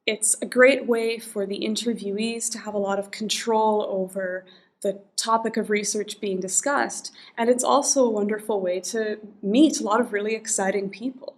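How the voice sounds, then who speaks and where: A woman narrating a prepared account of her work as a field researcher. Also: medium pitch. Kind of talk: scripted personal narration.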